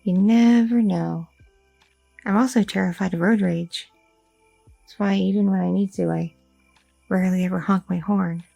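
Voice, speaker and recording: A young goth girlfriend who overthinks everything and rambles through her worries, as a woman acts her out in an ASMR roleplay. Her voice is low-lit and mellow with a gravelly, husky edge. It is recorded close and dry over faint music. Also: fairly even intonation, normal speed, pauses often, no echo. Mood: anxious.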